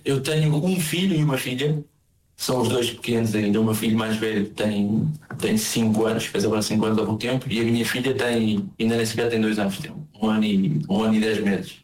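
A man's voice: on the low side, fairly even intonation, a little fast, darkish tone. Portuguese man in an echoey room. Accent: European Portuguese. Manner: rambling, repeats words while searching. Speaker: interviewee, emigrant living in Argentina. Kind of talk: interview.

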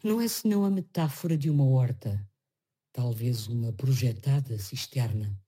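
An actress reading a poem aloud in a grave, measured recitation. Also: female voice, rumbling low, warm tone, husky. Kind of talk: slow poetry recitation.

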